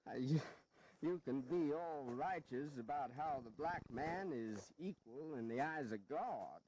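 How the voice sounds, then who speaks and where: Genteel, scheming statesman, folksy and very expressive in pitch, historical drama, some noise behind. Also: unhurried, poor audio. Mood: defiant. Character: genteel, wily Southern statesman defending slavery, male. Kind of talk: historical audio drama acting.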